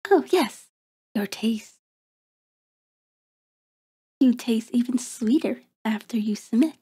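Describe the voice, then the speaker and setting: A woman playing a seductive, predatory vampire in an ASMR roleplay, soft and breathy with a coaxing, commanding manner, often slipping into a whisper. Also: on the high side, full character voice. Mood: satisfied, possessive. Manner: slow, deliberate, coaxing, controlling.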